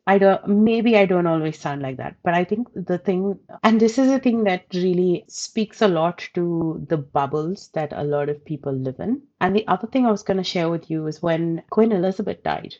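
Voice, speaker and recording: Indian woman telling her story, lowish voice, bit muffled lo-fi sound, thinks out loud. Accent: Indian, softened. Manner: thoughtful, hedging, with light laughs. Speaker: interviewee. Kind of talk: reflective personal storytelling.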